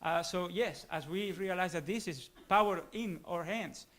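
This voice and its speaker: A man in the audience slowly builds a long question. His phrasing is formal, and he pauses and restarts as he hunts for words. His voice is slightly higher than most men's. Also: fairly bright tone. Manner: formal, halting, searching for words. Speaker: audience member asking a question.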